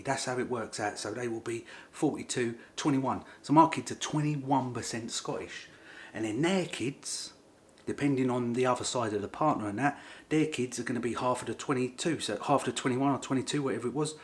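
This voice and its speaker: Chatty middle-aged man. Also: mid-range voice. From England. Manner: rambling, repeats himself, informal working-class grammar. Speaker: vlogger. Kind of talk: casual chat to camera.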